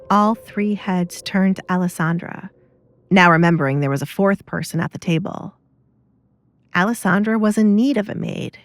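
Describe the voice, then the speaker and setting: A woman's voice: on the low side, no echo. A woman reading scripted story narration for an audio drama.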